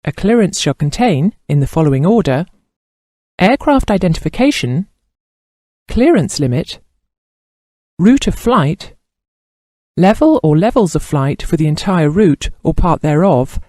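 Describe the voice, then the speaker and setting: Mellow, dry-sounding male instructional narrator. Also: on the high side, very animated, no room sound. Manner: formal, measured reading.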